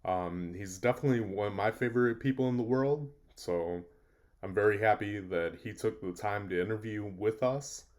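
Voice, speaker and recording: A man's voice: fairly low pitch, moderate pace, darkish tone. A man hosting a podcast, introducing his guest and asking short, friendly interview questions.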